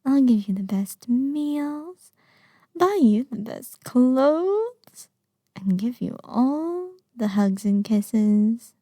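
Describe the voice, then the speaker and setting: A woman acting an obsessive, lovesick young kidnapper in an intimate ASMR roleplay, sweetly menacing with breathy murmurs and sighs. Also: on the high side, animated, extremely slow, dark tone, dry recording, clean studio sound, heavily acted. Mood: possessive, doting.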